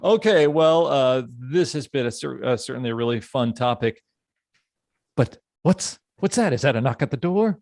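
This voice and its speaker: A man's voice: medium pitch, animated, normal speed, a little mellow, no room sound. Male podcast host, casual and effusive, mid-range voice in a dry recording. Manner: enthusiastic, effusive.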